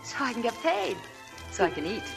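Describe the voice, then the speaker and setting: A woman's voice: fairly high pitch, wildly swooping pitch, brisk, creaky, exaggerated voice. An actress playing a shy young working woman who narrates her past, in 1940s radio acting. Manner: shy, soft-spoken. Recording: old radio broadcast with faint music.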